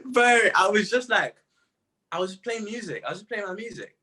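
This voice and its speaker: A man's voice: high-pitched, animated, moderate pace, bright, forceful tone. A young man with a British accent, chatting casually as a musician being interviewed, laid-back and full of slang.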